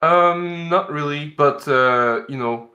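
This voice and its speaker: A man's voice: a little high, slow pace, bright, forceful tone, muffled recording. A man from Canada, an indie game developer, answering interview questions in an earnest, explanatory way with lots of "like" fillers.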